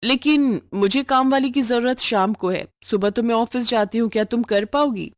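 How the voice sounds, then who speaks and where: A woman voicing a well-off working female employer in a moral storybook tale, brisk, commanding and demanding. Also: on the high side, dry recording, clean studio sound, natural voice. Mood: brisk, bossy.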